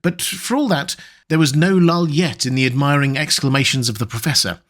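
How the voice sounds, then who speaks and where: A man with a slightly high voice reads a classic adventure novel aloud. His narration is measured and even, in a steady storytelling style. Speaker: audiobook narrator.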